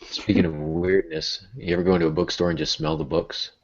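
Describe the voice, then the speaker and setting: A man's voice: deep voice, sing-song, brisk, creaky. A man hosting a casual podcast in a playful, joking manner. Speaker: podcast host, creative writing teacher. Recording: dull, muffled audio.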